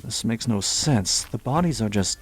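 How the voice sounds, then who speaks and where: A man's voice: on the low side, animated, normal speed, lightly acted. A man playing a grim detective. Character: grim puzzled male detective. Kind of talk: audio drama acting.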